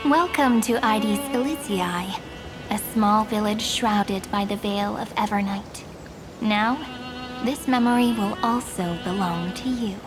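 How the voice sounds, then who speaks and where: A gentle, mysterious young woman from a video game, voiced by an actress. She speaks slowly at a medium pitch, poised and playfully confident. Music plays beneath her words. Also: natural voice, poor audio. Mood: welcoming.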